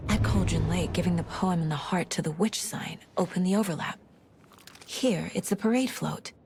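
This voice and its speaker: An actress in a video game playing a determined, intense detective who reasons and questions in a deliberate, probing way. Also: female voice, lowish voice, noisy background, close to an everyday voice. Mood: focused deduction. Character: determined, intense adult female detective piecing clues together.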